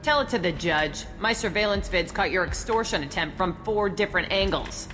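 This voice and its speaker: A woman's voice: medium pitch, animated, moderate pace, fairly bright tone, natural voice. An actress playing a stern, no-nonsense female business owner in a video game, curt and commanding. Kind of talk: scripted video game dialogue. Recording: music behind the voice.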